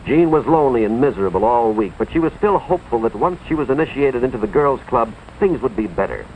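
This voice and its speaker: An American man playing an earnest, authoritative school official, narrating a cautionary tale in a deliberate, moralizing 1950s educational film style, with dramatic emphasis. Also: medium pitch, animated, slight background noise, natural voice. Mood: sympathetic, grave. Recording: tinny, band-limited 1950s film soundtrack, music behind.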